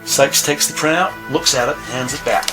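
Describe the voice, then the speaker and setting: A man reading scripted audio drama narration in a measured, descriptive storytelling manner. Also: mid-range voice, low-quality recording. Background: music.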